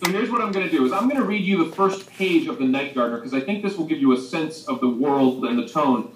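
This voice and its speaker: A man's voice: on the high side, lively intonation, fast talker, slight background noise. A man, a children's author, speaking enthusiastically and directly to young listeners as he presents and reads his storybook over a video call. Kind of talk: animated school talk and storybook reading. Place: video call played into a school library. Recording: roomy, distant video-call sound.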